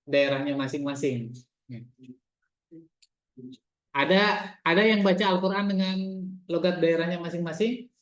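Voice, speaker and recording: Chatty man teaching in an echoey room. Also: on the high side. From Indonesia. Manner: chatty, repeats himself, quizzes students. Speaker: Arabic teacher. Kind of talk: casual classroom teaching. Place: classroom. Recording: echoey room, dull lo-fi sound.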